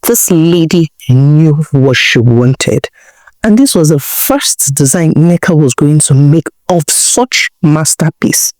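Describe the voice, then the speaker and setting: A woman with a Nigerian accent narrating a folktale in a deliberate way, repeating phrases for emphasis. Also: low-pitched, darkish tone, dry recording. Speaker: storyteller, narrator.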